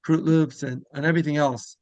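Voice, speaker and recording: A man hosting a casual interview, rambling through halting questions that double back on themselves. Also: a little high, fairly even intonation, a little mellow, dry recording. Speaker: interviewer and show host.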